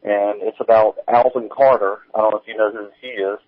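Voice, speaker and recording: A man talking casually as an interview guest, halting and hesitant as he explains things over a remote call. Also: on the low side, fairly even intonation. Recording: tinny, narrow phone-like line.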